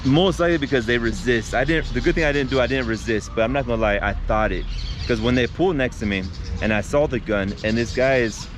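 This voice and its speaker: A man at a middle pitch talks straight to camera outdoors while traffic rumbles clearly behind him. He tells the story casually, restarting sentences, using fillers and calling his viewers guys. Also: fast talker. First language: not Spanish. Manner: conversational retelling with many restarts and fillers, addressing viewers as guys. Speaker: vlogger. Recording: outdoor street traffic noise.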